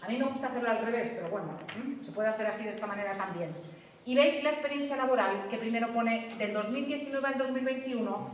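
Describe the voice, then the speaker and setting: A woman's voice: on the high side, quick pace, slight background noise. A woman teacher giving a classroom lecture, explaining step by step and often checking that students follow. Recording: echoey classroom from far off.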